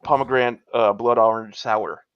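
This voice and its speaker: Mid-pitched Texan guest on a video call, easygoing, hesitant, dull lo-fi audio. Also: male voice, steady tone, moderate pace, clear, resonant tone, dry recording. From Texas. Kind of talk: casual chat.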